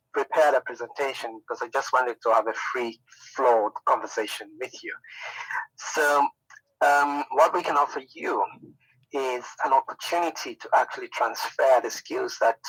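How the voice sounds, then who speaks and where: Middle-aged man, from Zambia, guest academic, relaxed unscripted talk with fillers, dry recording. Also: mid-range voice, moderate pace, breathy edge, no echo, low-quality recording. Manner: friendly, informal, with frequent fillers and restarts. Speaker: guest speaker, university department head. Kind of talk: unscripted presentation.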